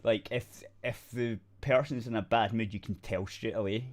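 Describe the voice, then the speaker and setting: A woman's voice: rumbling low, steady tone, normal speed, darkish tone. A woman co-hosting a podcast, talking casually in a laid-back, blunt way. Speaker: podcast co-host. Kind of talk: casual chat.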